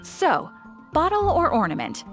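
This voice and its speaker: An animated, teasing shopkeeper. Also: female voice, high-pitched, measured pace, soft background music, exaggerated voice. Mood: helpful. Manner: teasing, chatty, knowing. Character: teasing, friendly adult woman shopkeeper. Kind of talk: anime video game voice acting.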